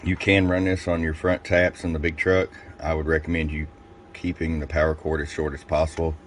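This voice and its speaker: A man giving a casual, step-by-step hands-on product review in a plain-spoken, folksy way, pausing between short phrases. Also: very deep voice, little pitch movement, a little hiss or hum. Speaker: radio seller demonstrating a product.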